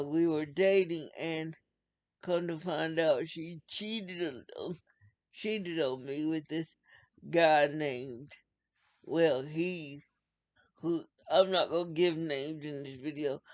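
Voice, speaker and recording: A young man from North Carolina, speaking earnestly and unhurriedly to camera, often repeating himself. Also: on the high side. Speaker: video blogger. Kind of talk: casual direct-to-camera talk.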